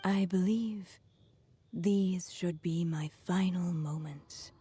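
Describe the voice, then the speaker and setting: A gentle dying woman from a video game, lowish and very slow. Her voice is whispery, soft and dark, with faint ambient noise. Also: steady tone, full character voice. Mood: weak, tender. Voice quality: breathy, whispery. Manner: faltering, gentle. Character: fading, dying woman bidding gentle farewell. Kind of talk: video game character acting.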